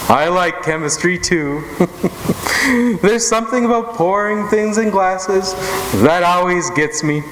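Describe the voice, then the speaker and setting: A man's voice: sits high, takes it slowly, fairly bright tone. A man playing a jolly, patient Santa Claus in old-time radio comedy style. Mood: jolly, indulgent. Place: live theater stage radio show. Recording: echoey hall with clear background noise.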